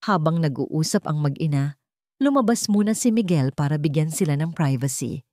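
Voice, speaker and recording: Mellow, low-pitched Filipino woman narrator. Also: lively intonation, normal speed, no room sound, studio quality. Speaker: audiobook narrator. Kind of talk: scripted dramatic story narration.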